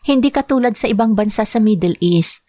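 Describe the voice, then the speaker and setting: An earnest young wife and mother in a radio drama, played by a woman. She talks gently and sincerely about helping her family. Her pitch sits in a plain middle range. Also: expressive, a little fast, close to an everyday voice. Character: worried young wife and mother planning work abroad.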